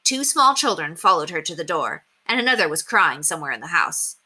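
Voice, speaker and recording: Woman, storybook narration, bright tone, slightly low pitch, expressive read-aloud delivery. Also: a little fast, breathy edge. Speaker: storyteller reading a children's book aloud. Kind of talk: expressive scripted narration with character voices.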